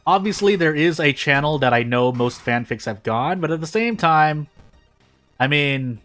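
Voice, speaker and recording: A man's voice: a little high, animated, normal speed, a bit bright, soft background music. A man doing casual gaming video narration and commentary in a rambling, self-deprecating way. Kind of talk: casual gameplay commentary and fanfic reading.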